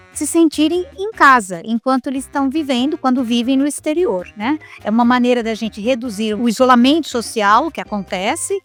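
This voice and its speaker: A woman's voice: on the high side, a bit bright. A woman hosting a podcast, speaking in an upbeat way and often adding "né?" tags. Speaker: podcast host. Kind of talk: podcast host introduction.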